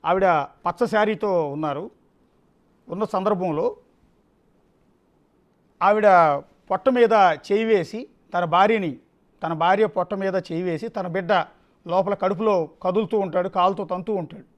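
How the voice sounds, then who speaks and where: A male news anchor with a distinctly high voice. He comments live and off the cuff, driving points home by repeating his phrases with emphasis. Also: moderate pace, pauses often.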